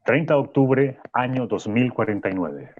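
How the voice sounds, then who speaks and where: A man with a Chilean accent reading the cast credits and the scene setting in a measured, announcing way. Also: medium pitch, expressive, a little hiss or hum. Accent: Chilean Spanish. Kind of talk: scripted credits narration. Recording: dull lo-fi recording.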